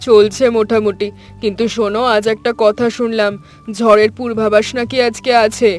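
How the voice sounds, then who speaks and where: A man's voice: high voice, little pitch movement, moderate pace, soft background music, lightly acted. A man voicing a chatty, neighbourly woman shopkeeper in a cartoon story. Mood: matter-of-fact warning.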